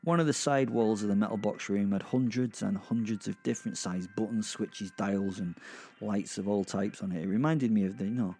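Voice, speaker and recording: A British man narrating a darkly comic audio drama in the first person, with wry, conversational, halting asides. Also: fairly low pitch, mellow, slightly rough, soft background music.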